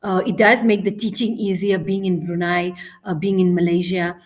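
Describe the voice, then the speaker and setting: A woman's voice: on the low side, level tone, normal speed. A South African woman, a teacher working abroad, speaking in a casual podcast interview, hesitant and full of ums and "you know".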